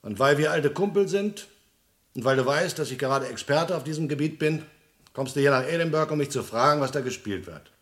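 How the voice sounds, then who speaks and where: A man's voice: fairly high pitch, animated, fairly quick, a bit bright. A man acting in a vintage crime radio play as a suspicious museum restorer, brusque and sardonic. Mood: suspicious, warning. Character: gruff, suspicious male museum restorer warning a friend. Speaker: radio drama actor.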